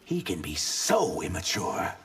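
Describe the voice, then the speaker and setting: Echoing, expressive earnest reptilian man. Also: low-pitched, unhurried, breathy edge, heavily acted. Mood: solemn, sincere. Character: earnest shape-shifting reptilian humanoid man, proud and haunted. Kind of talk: video game character dialogue. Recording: hall reverb with background noise.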